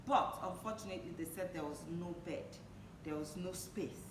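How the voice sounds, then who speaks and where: Echoey, noisy recording, woman, interview guest, slightly low voice, conversational personal storytelling. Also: moderate pace. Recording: echoey room, distant mic, noisy background.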